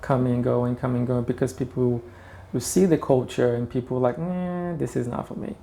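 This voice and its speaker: A man's voice: mid-range voice, fairly even intonation, a little fast, gravelly, dark tone. A middle-aged man from Brazil with a Brazilian Portuguese accent, chatting as a guest and often restarting his sentences. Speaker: interior designer guest. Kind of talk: casual interview chat.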